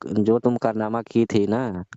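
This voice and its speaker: A man's voice: fairly low pitch, steady tone, unhurried, warm tone, no room sound, close to an everyday voice. A man playing a young cousin on a casual phone call, questioning in an insistent, teasing way. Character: young male cousin, probing, teasing, half-reluctant. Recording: dull lo-fi call-style audio.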